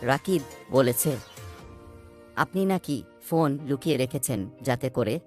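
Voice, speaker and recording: A woman's voice: extremely low pitch, measured pace, a little mellow, soft background music. A woman voicing an inquisitive, sceptical young boy in casual cartoon dialogue. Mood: questioning.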